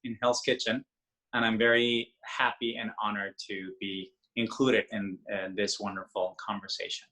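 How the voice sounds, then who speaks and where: A man's voice: on the low side, flat delivery, normal speed, pushed and bright, rough recording. A man from Mexico with a Mexican Spanish accent, a native Spanish speaker, speaking earnestly as a guest on a panel video call.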